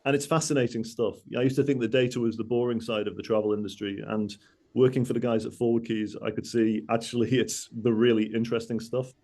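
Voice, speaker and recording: A man's voice: mid-range voice, flat delivery, fast talker, mellow. A man from Manchester with a Mancunian accent, giving a rambling, conversational talk full of asides and self-corrections. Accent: Mancunian English. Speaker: guest speaker. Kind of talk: conversational presentation over video call.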